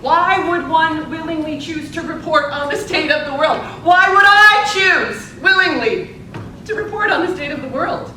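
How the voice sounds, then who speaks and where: An earnest, principled old suffragist of eighty-six played by a woman on stage, speaking deliberately in a slightly high voice. The theatrical delivery is heard from a distance in a reverberant room, with clear noise behind it. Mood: impassioned, oratorical. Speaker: actor in a theatre presentation. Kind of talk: theatrical interview and monologue, live stage performance. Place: indoor presentation space at a public library. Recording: echoey, distant, noisy library event recording.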